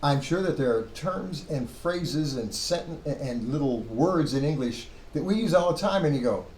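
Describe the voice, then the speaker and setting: A man's voice: on the high side, expressive, normal speed, darkish tone. A man lecturing informally to a class in a conversational way, posing questions to himself and correcting his own phrasing as he goes. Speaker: seminary Hebrew lecturer. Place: classroom with students. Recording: roomy echo, clear background noise.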